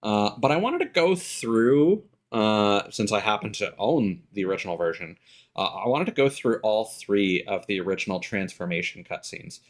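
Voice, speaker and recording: A man's voice: fairly low pitch, expressive, normal speed. A man hosting a livestream, giving casual, rambling, hesitant commentary on a game and chatting to viewers. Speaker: livestream host.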